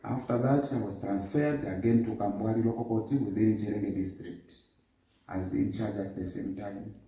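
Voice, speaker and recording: Dark-voiced fifty-year-old man, echoey. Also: lowish voice, fairly even intonation. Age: 50s. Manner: measured, formal, lists dates and places. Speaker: interview guest. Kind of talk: formal interview answers. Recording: echoey, distant room sound.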